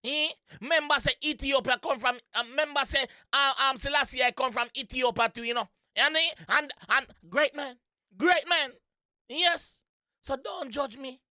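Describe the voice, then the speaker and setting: Give this voice a high pitch and a bright, forceful edge. The speaker is a Jamaican reaction host who riffs playfully on song lyrics, repeating phrases and breaking into singalong. It is recorded dry and close, with music in the background. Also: normal speed, no room sound. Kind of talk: casual music reaction commentary, singing along and riffing on lyrics.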